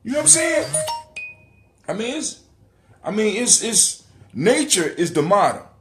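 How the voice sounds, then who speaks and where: A man's voice: high voice, sing-song, unhurried, music in the background. A middle-aged American man hosting a casual livestream monologue, conversational, swearing casually and repeating phrases for emphasis. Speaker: livestream host. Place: dim living room indoors. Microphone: phone or webcam at arm's length.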